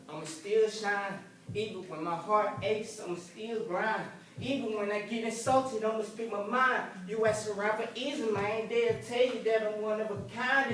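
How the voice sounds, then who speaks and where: A man's voice: sits high, fairly bright tone. A young man performing his own rap with a sung hook at a graduation ceremony. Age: young adult. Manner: rhythmic rapping with sung hook. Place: large hall at a graduation ceremony. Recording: echoey hall from far off.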